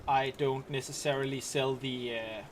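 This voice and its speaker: A man's voice: medium pitch, steady tone, normal speed, slight background noise. A man with a Danish accent casually talking through a game on a live stream, rambling and explaining as he plays, with frequent ums and restarts. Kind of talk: casual live-stream commentary while playing.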